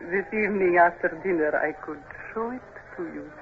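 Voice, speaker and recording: Actress as suggestive young countess for radio drama: genteel, coy, poised, with faint hiss behind a narrow recording. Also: female voice, fairly low pitch, brisk, lightly acted. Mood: coy, inviting. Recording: thin, faintly hissy old radio recording.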